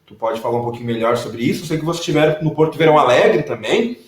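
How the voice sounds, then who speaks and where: A man hosting a live interview, lively and encouraging. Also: mid-range voice, quick pace. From southern Brazil. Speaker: host. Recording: echoey room.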